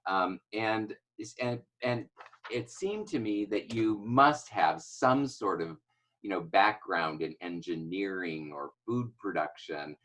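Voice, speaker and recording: A man's voice: sits low, expressive, slow, fairly bright tone. A man hosting an interview over a video call, asking long, rambling questions full of fillers. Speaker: interview host. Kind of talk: conversational interview.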